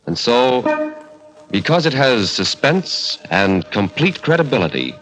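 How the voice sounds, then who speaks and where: An actor playing an ominous, portentous narrator introducing a 1940s radio horror show. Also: male voice, mid-range voice, big pitch swings, a little slow, soft background music, poor audio. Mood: grave, dramatic. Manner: portentous, dramatic. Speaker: announcer. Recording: vintage radio, loud musical backing.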